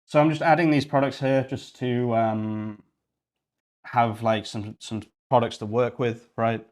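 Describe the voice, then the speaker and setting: A man's voice: mid-range voice, fairly even intonation, measured pace. A young man from Yorkshire, chatting casually and thinking aloud while hosting a coding livestream. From Yorkshire, England. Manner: rambling, thinking aloud while clicking around.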